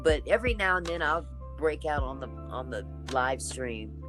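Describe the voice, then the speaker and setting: A woman with a deep, raspy voice talking in a relaxed, chatty way as a guest in a casual conversation. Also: normal speed, over music. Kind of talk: casual chat.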